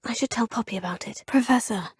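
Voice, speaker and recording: A courteous young schoolgirl witch in a fantasy video game, calling her teachers sir and professor. Her voice sits a little high and comes across slightly breathy. It is recorded close and dry, with no room sound. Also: fast talker, no room sound. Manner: polite and formal, addressing teachers as sir and professor. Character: polite young female Hogwarts fifth-year student witch. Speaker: player character. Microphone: close studio mic.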